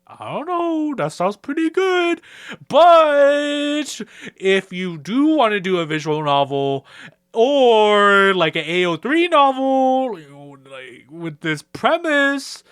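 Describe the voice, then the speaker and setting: A young man giving casual, rambling fan commentary over gameplay in a slangy, excitable way, acting out characters' lines as he goes. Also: very high voice, slow pace, clean tone, no echo, studio quality. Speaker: video creator.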